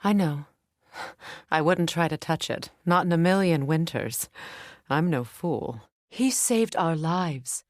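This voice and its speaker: An actress playing a proud, battle-hardened middle-aged warrior goddess in a video game, with a measured, commanding, dry manner. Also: female voice, low-pitched, lively intonation, darkish tone, no room sound. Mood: calm, reflective. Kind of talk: video game character dialogue and battle callouts.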